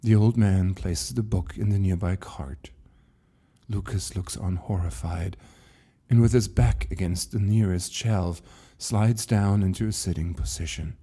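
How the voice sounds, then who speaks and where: A man reading his own short story as a measured, deliberate, suspenseful dramatic narration. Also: low voice, dark tone, slightly rough.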